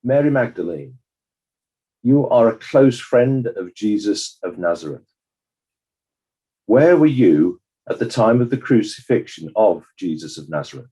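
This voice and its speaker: A man acting as a formal inquest examiner with a husky, mellow voice. His questioning is courteous and measured, with very lively intonation. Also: lowish voice, exaggerated voice, poor audio.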